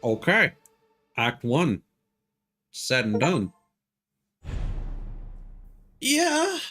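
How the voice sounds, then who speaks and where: Animated man reacting to a video game. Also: medium pitch, extremely slow, breathy edge. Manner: animated, joking, sweary reactions. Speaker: game streamer. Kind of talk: live gameplay commentary. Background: video game music and sound.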